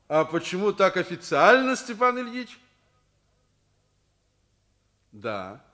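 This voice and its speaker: An actor playing a stern, principled school principal in a Soviet radio play, with an emphatic, commanding delivery. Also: male voice, a little high, sharp tone, full character voice. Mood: curt, official. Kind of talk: mid-century Soviet radio play acting.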